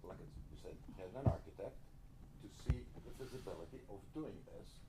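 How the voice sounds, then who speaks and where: A man's voice: on the low side, expressive, moderate pace. A man, a property owner at a public meeting, explaining himself defensively and without a script. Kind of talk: unscripted explanation. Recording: echoey, noisy public meeting recording.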